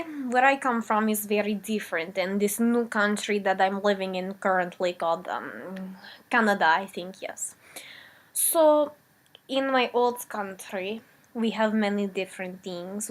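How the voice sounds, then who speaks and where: A woman playing a rambling immigrant woman from the old country, talking in broken English with a meandering, deadpan comic manner. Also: mid-range voice, natural voice. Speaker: comedic character. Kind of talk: improvised comic character monologue.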